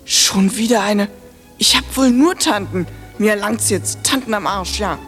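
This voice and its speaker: A woman's voice: mid-range voice, a little slow, a bit bright, faint music behind, lightly acted. A woman playing a cheeky, foul-mouthed little girl in a radio play, brash and insolent. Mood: insolent, annoyed.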